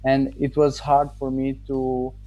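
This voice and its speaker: Mellow-voiced man, halting and thoughtful. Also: mid-range voice, monotone, faint music behind, rough recording. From Romania. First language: Romanian. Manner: thoughtful, halting, with restarts and pauses. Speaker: student giving a testimonial. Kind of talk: casual interview answers.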